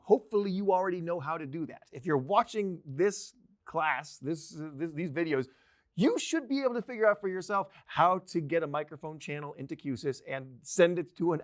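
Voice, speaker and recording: Higher-voiced man teaching. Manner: conversational, explanatory, with playful asides. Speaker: training instructor. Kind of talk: informal instructional presentation to camera. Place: indoor training video studio, seated at a desk. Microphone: lavalier clipped to shirt.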